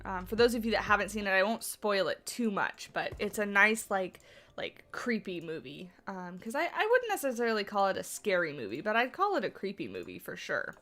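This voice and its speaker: A woman's voice: medium pitch, very animated, fairly quick, a bit bright. A woman hosting a game livestream in a casual, rambling way, with many fillers and restarts, congested and sniffly from allergies. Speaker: livestream host.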